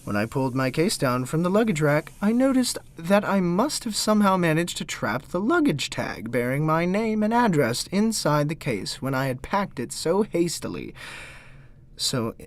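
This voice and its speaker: An actor playing a prim, easily flustered young gentleman who narrates his own story theatrically, polite and incredulous. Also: on the high side, sing-song, normal speed. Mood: narrating, wry. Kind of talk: theatrical first-person narration and dialogue.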